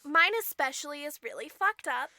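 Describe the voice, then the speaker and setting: A woman's voice: high-pitched, expressive, normal speed, sharp tone, no echo, studio quality. A woman co-hosting a podcast with casual comedic banter, playful, exclamatory and freely swearing. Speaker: podcast co-host.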